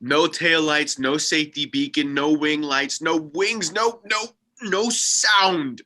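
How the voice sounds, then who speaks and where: A man in a table read playing Art, an excitable UFO spotter who talks casually and emphatically, with swearing. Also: a little high, slow. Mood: awed, agitated. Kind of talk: table read of a comedy script.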